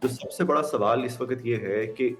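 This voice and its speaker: A man's voice: mid-range voice, normal speed, faint music behind. A man with a Pakistani accent speaks as an expert guest, explaining earnestly and switching between Urdu and English, with emphasis on key words. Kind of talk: interview commentary mixing Urdu and English. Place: remote video call.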